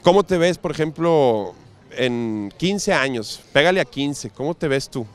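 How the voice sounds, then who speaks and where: A man's voice: on the high side, lively intonation, unhurried. A man in his thirties with a Mexican accent, interviewing passersby on a pier with a handheld mic, joking in slangy banter. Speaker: street interviewer. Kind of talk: casual street interview. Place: outdoors on a busy seaside pier.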